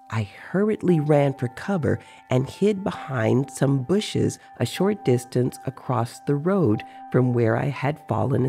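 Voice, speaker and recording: A narrator voicing an excitable male sorcerer who recounts a strange dream in a dramatic, earthy way. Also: medium pitch, creaky, exaggerated voice. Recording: faint music behind.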